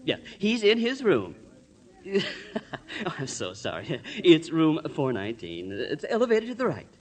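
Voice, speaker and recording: A man's voice: on the high side, huge pitch swings, measured pace, full character voice. A man playing a fussy, harried hotel desk clerk in 1950s radio drama, snippy and flustered by turns. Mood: flustered, apologetic. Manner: flustered, exasperated, abruptly switching to apologetic politeness. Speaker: character actor.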